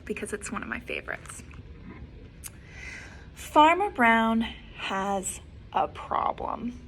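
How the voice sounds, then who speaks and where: Children's librarian, female, young adult, story time read-aloud, lively. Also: mid-range voice, a little hiss or hum. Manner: expressive, animated storybook reading with soft asides.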